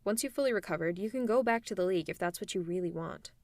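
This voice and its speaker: A woman's voice: lowish voice, quick pace, a little mellow, dry recording. A woman voicing an adult female supervillain in a one-sided ASMR roleplay, talking in a teasing, persuasive way to a silent listener. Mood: scheming.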